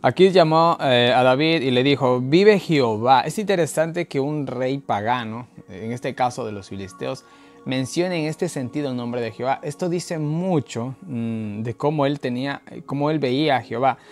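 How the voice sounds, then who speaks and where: A man with an Ecuadorian Spanish accent leading an informal online Bible study in a colloquial, halting way full of filler words. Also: medium pitch. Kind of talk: informal Bible study talk, verse-by-verse commentary. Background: background music.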